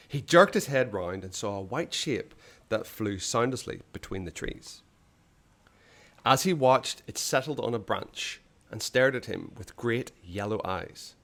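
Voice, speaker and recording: A man's voice: lowish voice, vocal fry. Guy narrating a kids adventure book, dramatic and suspenseful, voice swings up and down a lot. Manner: theatrical, suspenseful, with hushed phrase endings. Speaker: storybook reader. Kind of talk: dramatic read-aloud narration of a children's adventure story.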